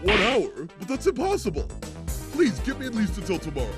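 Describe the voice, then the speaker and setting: A man's voice: sits high, huge pitch swings, brisk, over music. A man playing a frantic, over-earnest police detective in a fan dub of a courtroom mystery game. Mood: flustered.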